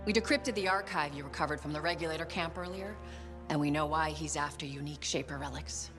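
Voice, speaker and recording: An actress playing a composed, guarded spymaster in a video game, speaking in a measured, commanding manner. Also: female voice, deep voice, over music, close to an everyday voice. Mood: grave, urgent. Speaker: game character, intelligence chief. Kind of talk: cinematic game voice acting.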